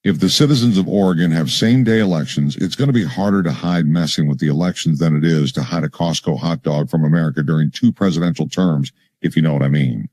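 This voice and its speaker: A man playing a deep-voiced joking uncle. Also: fast, few pauses, darkish tone, no room sound. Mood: mocking. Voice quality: deep baritone. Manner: mocking, jokey. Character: comic uncle character with deep bronchitis voice. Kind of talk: parody political ad.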